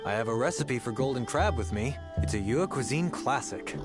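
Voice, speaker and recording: A man playing a courteous, lively innkeeper. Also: medium pitch, natural voice. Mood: polite. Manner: polite, hospitable, measured. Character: courteous male innkeeper, polite. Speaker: game character. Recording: game audio under music.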